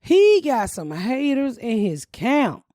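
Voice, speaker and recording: A woman comedian with a deep voice, hosting a casual podcast and talking bluntly with lots of swearing. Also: very animated, takes it slowly, warm tone, dry recording, clean studio sound. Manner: brash, profane, blunt. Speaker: comedian host.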